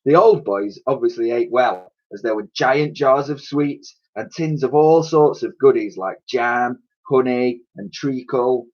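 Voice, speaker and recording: A man reading a children's storybook aloud in an expressive way, like a teacher reading to pupils. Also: mid-range voice, measured pace, hardly any pauses, highs cut off. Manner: expressive, acts out characters' dialogue.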